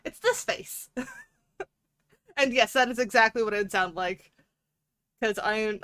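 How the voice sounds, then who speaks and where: Woman, livestream host, higher-pitched voice, giggly and playful, casual rambling chat, very dry room sound. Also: a bit bright, no echo.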